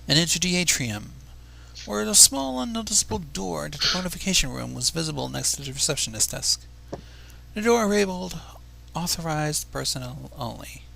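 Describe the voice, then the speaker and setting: A man's voice: fairly high pitch, sing-song, a little fast, gravelly, bright, forceful tone, rough recording. A man reading a story aloud with casual asides, stumbling over words and going back over them. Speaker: fan fiction reader.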